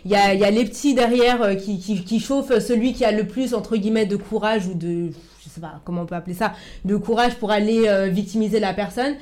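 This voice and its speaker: Panelist: woman, middle pitch, hesitant, full of restarts. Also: fairly quick, a little mellow. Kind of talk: casual debate.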